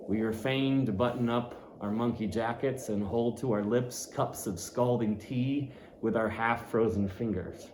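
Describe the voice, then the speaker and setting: A man giving a dramatic, expressive reading of a classic novel, shifting his voice for effect. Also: lowish voice. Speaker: audiobook reader. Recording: roomy echo, faint ambient noise.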